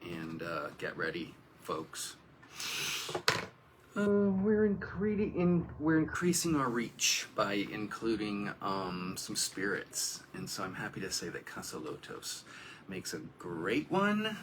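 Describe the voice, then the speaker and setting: A man's voice: lowish voice, very animated, takes it slowly, a little hiss or hum, poor audio. A man hosting a casual livestream interview on a tablet, talking to his audience while he sets up the call. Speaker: show host. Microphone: video call on a tablet.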